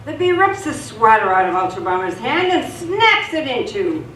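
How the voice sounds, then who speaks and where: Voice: medium pitch, big pitch swings, normal speed. In an echoey room with faint noise, a narrator reads a radio play. The delivery is scripted dramatic storytelling, picked up at a distance from the mic.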